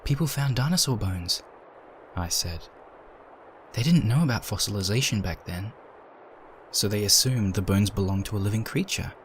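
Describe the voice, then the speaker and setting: A man reading a dark story aloud in a measured, dramatic narration. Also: on the low side, expressive, slight background noise, low-quality recording.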